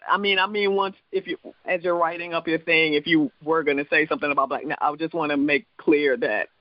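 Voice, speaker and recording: A man with a fairly high voice and a blended accent, island at the root with Midwestern and New York touches. He talks in a loose, rambling way, swears casually and laughs easily. The sound is dry and a little muffled. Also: steady tone, a little fast, dry recording. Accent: mixed island, Midwestern and New York. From US Virgin Islands. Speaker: comedian and show producer. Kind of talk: casual interview chat.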